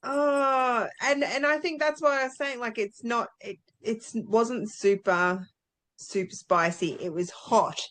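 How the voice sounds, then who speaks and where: A woman's voice: fairly high pitch, takes it slowly, a bit bright. A woman co-hosting a book club podcast in a casual, conversational style.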